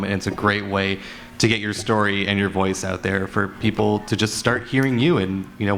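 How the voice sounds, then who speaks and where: A man's voice: on the low side, fairly bright tone. A man speaking as a panelist, giving practical advice in a matter-of-fact, conversational way. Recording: noisy live convention room.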